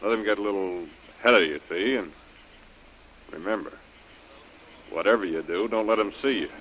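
An actor playing a sly, bossy, scheming villain in a 1930s radio adventure serial. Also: male voice, fairly low pitch, normal speed, fairly bright tone, slight background noise, lightly acted. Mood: bossy, calculating. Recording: muffled old radio recording.

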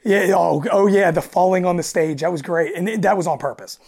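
A raspy-voiced young man from Delaware, a musician talking as a guest in a casual interview, animated and chuckling, often restarting his sentences. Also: high voice, brisk.

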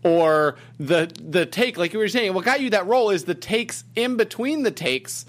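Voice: medium pitch, animated, moderate pace. An interviewer who asks long, reflective questions in a thoughtful way.

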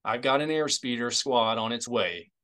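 Man as a brisk military aide. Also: mid-range voice, expressive, a bit bright, natural voice. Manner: brisk, clipped. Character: brisk, efficient young male military aide.

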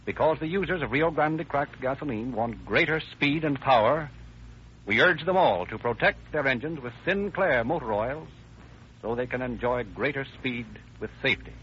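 A man's voice: mid-range voice, lively intonation, normal speed, slight background noise. An American man narrating and announcing in formal, polished, declamatory 1930s radio style. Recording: dull old radio recording. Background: music.

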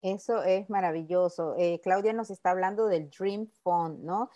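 A woman's voice: lowish voice, fairly even intonation, moderate pace, warm tone. A woman hosting a radio and podcast interview, upbeat and chatty, slipping English words into her Spanish. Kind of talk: conversational interview.

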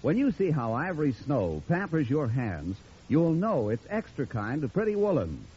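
A man's voice: a little high, big pitch swings, moderate pace, dark tone, a little hiss or hum. A man reading a 1940s radio advertisement in a polished, reassuring sales manner. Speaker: commercial announcer. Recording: dull vintage radio recording.

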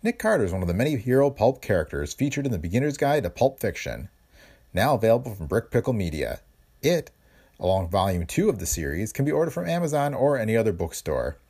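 A man's voice: lowish voice, big pitch swings, fast, a little mellow, breathy edge. A man hosting a podcast, reading scripted narration about its show. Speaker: podcast host.

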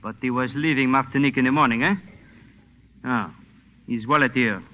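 An actor playing a probing French police inspector with a formal questioning manner in a 1940s radio drama. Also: male voice, medium pitch, lightly acted. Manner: formal, measured interrogation. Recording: dull, faintly noisy radio recording.

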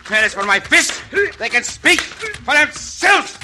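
An actor playing a dashing, confident Mexican cowboy hero in an old radio western. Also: male voice, very high voice, sing-song, unhurried, noisy background, full character voice. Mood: fighting, defiant. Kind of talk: 1950s radio western acting.

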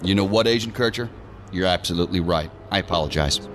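An actor playing a brusque tactical commander in an audio drama, clipped, commanding and sarcastic. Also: male voice, sits low, fast, a bit bright, faint music behind. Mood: curt, sarcastic.

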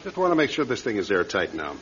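An actor in an old radio crime drama playing an authoritative police captain with a hearty, commanding manner. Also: male voice, on the low side, big pitch swings, fast, a bit bright. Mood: approving, commanding. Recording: dull, noisy old radio recording.

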